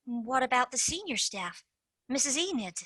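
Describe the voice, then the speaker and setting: A scheming young tooth fairy agent, played by a woman with a bright, sharp, fairly high voice and a pushy, fast-talking manner. She slips into conspiratorial whispers, and the recording is dry and close. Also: very animated, breathy edge, no room sound, heavily acted. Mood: pleading. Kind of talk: amateur stage-play acting.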